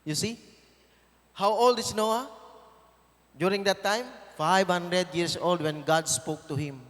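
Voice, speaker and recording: A man's voice: high-pitched, slow, fairly bright tone, in a large hall. A man in his fifties with a Filipino accent, preaching a sermon as guest pastor, emphatic and repeating phrases for stress. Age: 50s. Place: church.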